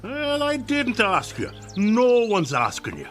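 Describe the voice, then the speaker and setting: An actor playing a bossy, scolding, pompous police officer in a period radio drama. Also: male voice, high-pitched, huge pitch swings, takes it slowly, a bit bright, faint music behind, exaggerated voice. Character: blustering, bossy old-time police officer.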